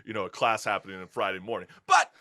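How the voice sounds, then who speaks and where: A man hosting a casual talk show in an animated, slangy, hyped-up way. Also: lowish voice, fast talker.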